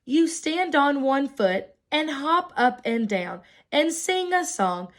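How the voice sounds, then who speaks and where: A woman's voice: high-pitched, animated, takes it slowly, pitched above the performer's own voice. Woman reading Bob, a helpful storybook friend. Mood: instructing. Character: helpful friend of a kitten, male.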